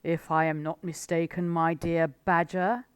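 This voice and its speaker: A woman voicing a sly, confident male fox who talks smoothly and persuasively in a storybook. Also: on the low side, little pitch movement, unhurried, no echo, lightly acted.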